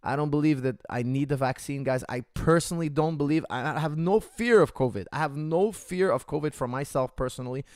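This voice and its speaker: A man's voice: a little high, a little fast, few pauses, a little mellow, no room sound, clean studio sound. A man hosting a casual podcast, ranting heatedly to viewers, repeating himself and calling them guys. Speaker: podcast host. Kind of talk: casual opinion rant to viewers. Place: Canada.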